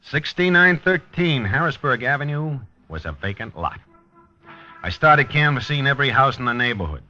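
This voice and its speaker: A man's voice: medium pitch, expressive, normal speed, sharp tone. An actor playing a dogged American police detective, narrating his case in a terse, wry, hard-boiled 1950s radio style. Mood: grim, methodical. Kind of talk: 1950s radio drama acting, noir narration. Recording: dull vintage 1950s radio recording.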